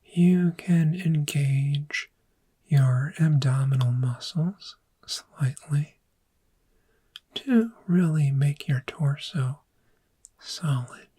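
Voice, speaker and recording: A woman voicing a calm, patient dragon therapist in a gentle, breathy, soft-spoken ASMR roleplay, with measured, reassuring instruction. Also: low-pitched, heavily acted. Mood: calm guiding. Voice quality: breathy, whispery.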